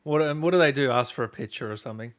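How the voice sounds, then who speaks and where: A man's voice: medium pitch, very fast. A man hosting an interview, asking questions in a casual, conversational style. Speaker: interviewer, gaming channel host.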